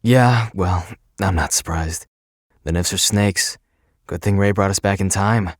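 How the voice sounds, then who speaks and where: Man voicing a young prince, very low voice, slangy, sullen, incredulous, audio drama. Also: a little slow, no room sound, clean studio sound. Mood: casual, wary. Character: young prince, casual, sullen, stubbornly loyal to his twin. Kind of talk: audio drama acting and first-person narration.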